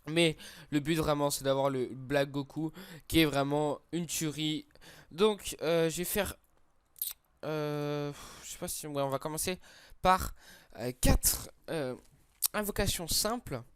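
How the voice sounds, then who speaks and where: A man's voice: fairly high pitch, slow pace, clean tone, no room sound. Congested young man rambling through game summons. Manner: rambling, hesitant, crude slang, many fillers. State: slightly congested from a cold. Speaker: gaming YouTuber. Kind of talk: casual gacha summon commentary.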